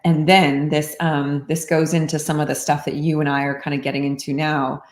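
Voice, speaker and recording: A woman explaining meditation practice in a calm, unhurried way, often saying "like", in casual podcast conversation. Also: low-pitched, roomy sound. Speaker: meditation teacher.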